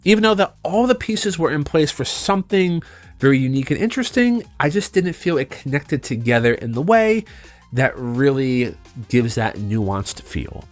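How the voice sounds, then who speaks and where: A man's voice: medium pitch, very animated, moderate pace. A man narrating a video game review in a conversational, emphatic way, as a channel host. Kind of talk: semi-scripted review narration. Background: background music.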